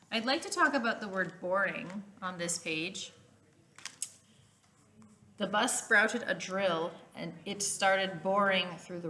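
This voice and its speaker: A woman reading a children's picture book aloud like a teacher, expressively, pausing to explain words. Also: medium pitch, sharp tone, a little hiss or hum.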